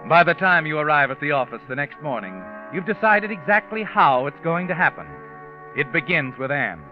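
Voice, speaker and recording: Sinister, high-voiced old-radio narrator. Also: male voice, sing-song, normal speed. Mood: ominous, intense. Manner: insinuating, knowing, dramatic. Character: sinister all-knowing male narrator, taunting and insinuating. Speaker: narrator of a crime mystery drama. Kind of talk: 1940s radio suspense narration in second person. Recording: muffled old radio recording with music.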